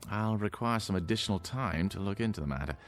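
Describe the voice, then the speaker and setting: A man's voice: lowish voice, fast talker, close to an everyday voice. A man playing a patronising doctor in a radio drama, with hesitant, condescending reassurance. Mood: reassuring. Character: smooth, patronising male doctor at a futuristic clinic.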